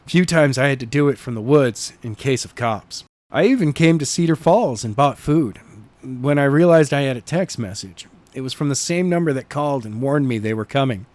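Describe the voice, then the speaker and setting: A man's voice: medium pitch, a little mellow, dry recording. A man working as an amateur narrator and podcast co-host, reading a novel and chatting casually about it.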